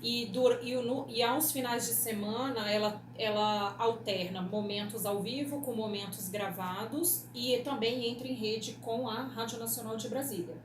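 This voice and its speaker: Echoey, slightly high-voiced Brazilian woman. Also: steady tone, moderate pace, few pauses. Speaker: radio producer being interviewed. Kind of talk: explanatory interview talk. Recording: echoey room with clear background noise.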